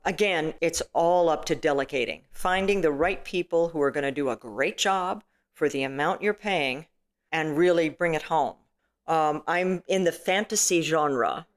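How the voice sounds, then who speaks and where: A middle-aged woman with a booming, stage-trained voice, chatting casually as an interview guest with lots of "you know"s and restarts. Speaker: filmmaker and actress guest.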